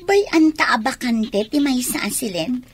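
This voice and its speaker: A woman's voice: high voice, lively intonation, normal speed, husky. An actress playing a bold, whiny, scheming young woman in an Ilocano radio drama. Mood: complaining.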